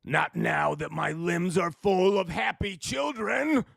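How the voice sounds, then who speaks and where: A man's voice: high-pitched, takes it slowly, gravelly, a bit bright, no room sound, studio quality, exaggerated voice. A man voicing a stubborn, grumpy little tree in a children's storybook. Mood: alarmed. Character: grumpy, stubborn little tree that hates people, later softening.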